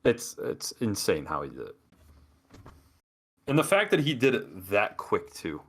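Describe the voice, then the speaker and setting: Podcast co-host, male, deep and expressive, rambling and excitable. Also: measured pace, breathy edge. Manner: animated, rambling, with many restarts. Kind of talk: casual gaming chat.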